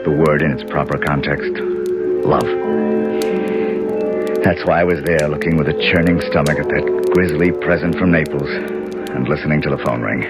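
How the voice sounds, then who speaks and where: An actor playing a senator's devoted aide, speaking in a measured, confiding way in a 1970s radio drama. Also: male voice, rumbling low. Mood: confiding, grave. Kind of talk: 1970s radio drama first-person narration. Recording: old radio recording under loud music.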